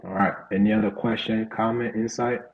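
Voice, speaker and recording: Laid-back man teaching code, lowish voice. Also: level tone, a little fast, highs cut off. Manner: casual, fillers like "right?" and "you know". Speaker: coding instructor. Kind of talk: live online coding class.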